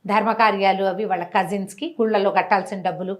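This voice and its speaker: A woman telling a moral story conversationally, with animated delivery, rhetorical questions and everyday examples. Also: medium pitch, quick pace. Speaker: storyteller, interview guest.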